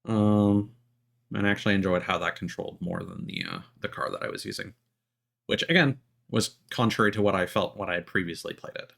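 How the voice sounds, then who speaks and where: A man's voice: fairly low pitch, a little fast, gravelly, a bit bright. A man doing casual gameplay commentary as a livestreamer, rambling and easily sidetracked, with casual swearing. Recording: game music playing behind voice. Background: video game music.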